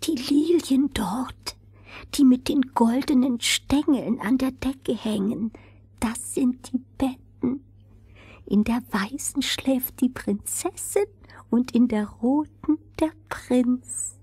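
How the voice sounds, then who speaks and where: High, mellow, careful crow. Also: female voice, takes it slowly, husky, no echo, exaggerated voice. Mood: hushed, secretive. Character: gentle female crow guiding a girl. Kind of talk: fairy-tale audio drama acting.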